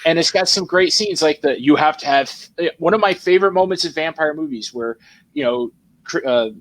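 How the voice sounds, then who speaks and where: Man, podcast panelist, enthusiastic, rambling, fairly high pitch. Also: a little fast, fairly bright tone, no echo, low-quality recording. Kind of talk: casual chat.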